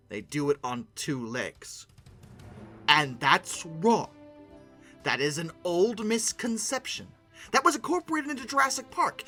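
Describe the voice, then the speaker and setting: Very bright, animated, theatrical game reviewer. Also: mid-range voice. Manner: theatrical, swings into mock-outraged rants; sarcastic asides. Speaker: video game reviewer and host. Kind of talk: informal comedic video review commentary. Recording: music bed behind the voice. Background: music.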